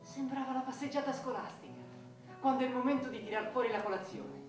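Wistful soldier's ghost, deeply echoing. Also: male voice, quick pace. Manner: wistful, wry, resigned. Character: discreet, wistful ghost of a fallen male soldier. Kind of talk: theatrical radio drama acting. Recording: very echoey distant room, music behind.

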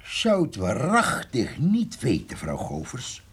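An actor playing a sly, jovial country poacher with a husky voice and a folksy, drawling way of talking in a radio play. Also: male voice, medium pitch, wildly swooping pitch, slow, full character voice. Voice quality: gravelly, husky. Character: sly, jovial old male poacher, gossipy country rascal. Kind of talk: radio drama acting.

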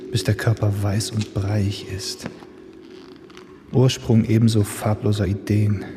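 An actor playing a brooding man who muses in a solemn, hushed, lingering radio play monologue. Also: fairly low pitch, noisy background, natural voice. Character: brooding man musing over sleeping humanity. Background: music.

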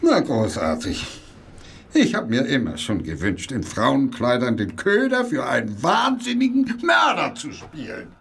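Husky exasperated cop in a radio drama, sarcastic, pitch jumps all over the place. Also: male voice, unhurried, full character voice. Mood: sarcastic, resigned. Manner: animated, sarcastic protesting. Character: reluctant, sarcastic male police subordinate, easily exasperated. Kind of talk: radio play dialogue.

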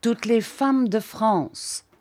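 A woman's voice: a little high, very slow, a little mellow, voice pushed up. A woman playing a sneering young Prussian officer. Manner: sneering, laughing, boastful. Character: young arrogant mocking male Prussian officer.

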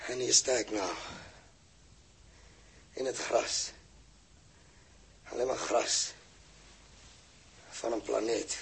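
An actor playing a desperate lone space castaway with a Netherlands Dutch accent, talking to himself haltingly in a 1960s radio drama. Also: male voice, medium pitch, fairly even intonation, gravelly, husky, full character voice. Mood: dazed, forlorn. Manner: halting, repeats himself, talks to himself.

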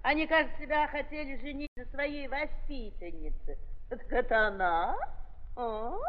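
An actress playing a blunt, gossipy old aristocratic aunt who drawls for emphasis and drops in French, in 1930s theatrical style. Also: female voice, high-pitched, normal speed, close to an everyday voice. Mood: nosy, amused. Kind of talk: 1930s theatrical radio acting. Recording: dull 1930s recording with hall reverb.

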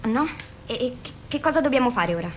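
Un'attrice che fa una ragazza amara e instabile, voce molto acuta. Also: female voice, a little high, expressive, fast talker, slight background noise, close to an everyday voice. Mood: sarcastic. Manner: sarcastic, confrontational, foul-mouthed. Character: bitter, volatile young woman patient, defiant daughter. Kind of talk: Italian radio drama acting.

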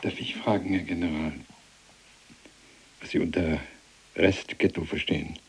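A sly prison cellmate in an audio drama. His low voice is heavily husky and gravelly, and he needles others with teasing, mock-deferential politeness. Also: fairly even intonation, unhurried, heavily acted. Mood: politely probing.

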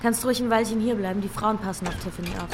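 A woman's voice: medium pitch, racing, slight background noise, natural voice. A woman acting a troubled, unsure young runaway who talks plainly and with slang in a crime radio drama. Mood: quiet. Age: young adult.

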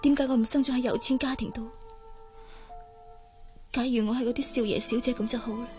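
A woman's voice: fairly high pitch, steady tone, fairly quick, warm tone, soft background music, close to an everyday voice. A woman with a Hong Kong Cantonese accent playing an earnest, lovesick young maid in a radio drama, deferential and wistful. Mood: yearning, resigned.

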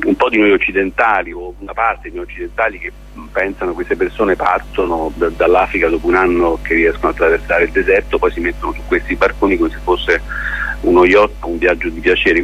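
A man answering as a theatre director in a radio interview, explaining in a halting, deliberate way with pauses and repeated words. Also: deep voice, fairly bright tone, husky. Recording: muffled, lo-fi radio interview with noise.

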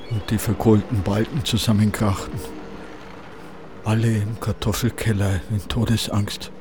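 A man playing a sombre teller of old village tales in a literary radio play. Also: on the low side. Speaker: second narrator.

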